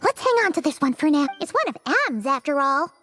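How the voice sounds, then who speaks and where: Little fairy sidekick for a game: piercingly high, chirpy, blunt and excitable, bouncing intonation. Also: female voice, brisk. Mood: curious, suspicious. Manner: squeaky, bubbly, exclamatory. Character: tiny, chirpy, excitable childlike fairy companion, curious and blunt. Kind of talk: video game dialogue acting. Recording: music playing under voice.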